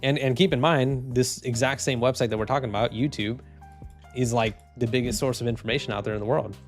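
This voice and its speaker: A man streaming casually, reading chat comments in a low, even, unhurried voice with lots of fillers and restarts. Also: faint music behind. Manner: laid-back, rambling, many fillers and restarts.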